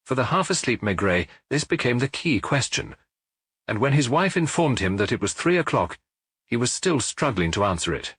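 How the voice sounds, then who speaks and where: A man's voice: medium pitch, sing-song, moderate pace, fairly bright tone, husky, no echo. A man reading a detective novel as an audiobook narrator, in scripted storytelling style.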